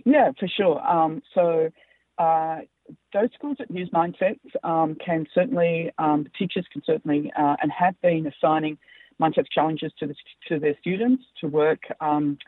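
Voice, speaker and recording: A woman with an Australian accent, a company founder answering interview questions, speaking haltingly with many fillers. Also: low voice, no room sound. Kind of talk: interview over the phone. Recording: tinny dry phone line.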